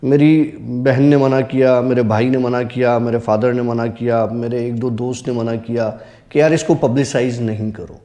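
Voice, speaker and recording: A man's voice: mid-range voice, steady tone, moderate pace, warm tone. A man telling personal stories in conversation, earnest and serious. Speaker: storyteller guest on a horror story show. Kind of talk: casual conversational storytelling.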